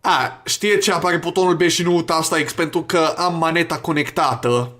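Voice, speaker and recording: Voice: mid-range voice, fairly even intonation, a bit bright. A gamer doing casual, crude Let's Play commentary and reading game dialogue aloud. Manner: crude, swearing, joking. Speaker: gaming YouTuber. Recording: game music behind the voice. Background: video game music.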